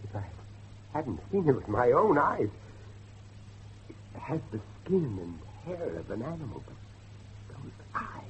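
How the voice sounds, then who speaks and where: An actor playing a grave, grieving father who believes in devilry, speaking emphatically with dramatic pauses in a horror drama. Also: mid-range voice, very animated, slight background noise, low-quality recording. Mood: horrified awe. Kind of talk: dramatic horror dialogue acting.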